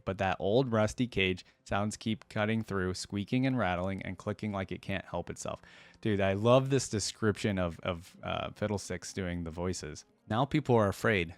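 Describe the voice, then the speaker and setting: A man's voice: lowish voice, no echo. A man hosting a reaction video, talking casually and reading a story aloud.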